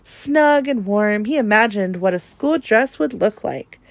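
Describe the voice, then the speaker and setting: A woman reading a children's picture book aloud in an expressive narrating style. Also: medium pitch, a little slow, no room sound. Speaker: storybook reader.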